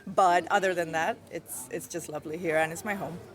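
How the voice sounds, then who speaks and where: A woman from Iran whose first language is Farsi, a guest poet talking thoughtfully in a casual interview outdoors, with soft fillers. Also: lowish voice, steady tone, fairly quick, crowd noise. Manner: reflective, with soft fillers and light laughs. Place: outdoors outside a bookshop.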